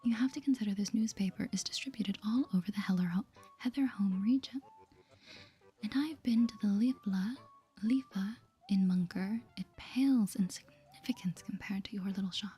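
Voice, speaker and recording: A woman voicing a proud, flattering video game newspaperman in a grandiose but whispered manner. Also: mid-range voice, moderate pace. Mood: self-important. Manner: grandiose, smooth-talking.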